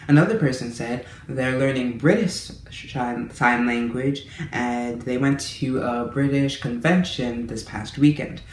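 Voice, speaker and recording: A man's voice: mid-range voice, measured pace. Chatty young man in a roomy space. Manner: friendly, chatty, with small restarts. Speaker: language-learning vlogger. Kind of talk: casual monthly update to camera. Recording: roomy echo with faint room noise.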